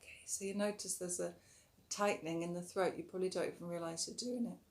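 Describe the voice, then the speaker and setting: A woman teaching a yoga breathing technique in a calm, instructive way, her voice a little rough today, sometimes stretching words slowly and dropping softer while guiding the breath. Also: on the low side, slight background noise. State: slightly rough voice. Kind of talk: breathing tutorial.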